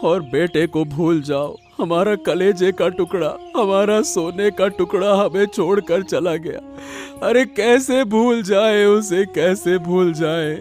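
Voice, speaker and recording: A man's voice: high voice, takes it slowly, hardly any pauses, a little mellow. A man playing a poor, bereaved village farmer in a Hindi cartoon story, with earnest, emotive, folksy delivery. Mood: grieving. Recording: music playing behind the voice.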